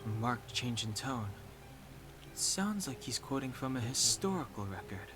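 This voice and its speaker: An actor playing a calm, reserved young male companion who speaks tersely and matter-of-factly in a game cutscene. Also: mid-range voice, measured pace, music in the background.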